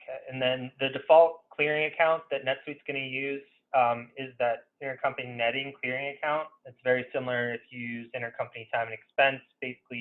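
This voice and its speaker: A man giving an informal software demo as a consultant in a webinar, conversational and hesitant, often saying "you know". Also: mid-range voice. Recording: narrow phone-like webinar audio.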